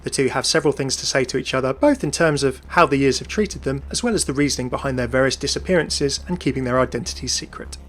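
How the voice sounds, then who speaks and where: A man's voice: medium pitch, fast talker, hardly any pauses, a bit bright, slight background noise. A man presenting scripted video game lore commentary in a chatty, enthusiastic manner.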